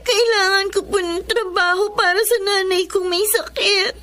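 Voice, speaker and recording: A woman's voice: extremely high pitch, steady tone, moderate pace, bright, forceful tone. A woman with a Filipino accent playing a meek, polite, desperate sixteen-year-old waitress in a radio drama. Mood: tearful desperation.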